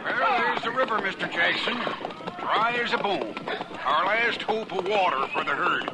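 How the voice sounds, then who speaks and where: An American actor playing a worried, skeptical cowhand on a cattle drive in a 1950s radio western. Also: male voice, high-pitched, moderate pace, lightly acted. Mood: worried, doubtful. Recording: noisy old radio recording, cattle effects.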